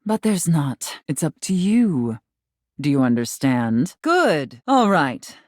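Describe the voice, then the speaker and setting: Video game Santa boss: domineering, haughty, scolding; slow, deliberate delivery, close dry sound. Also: female voice, deep voice, very animated, darkish tone, no echo, full character voice. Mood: grave, urgent. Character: stern, domineering woman playing a demanding Santa Claus boss. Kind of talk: theatrical video game voice acting.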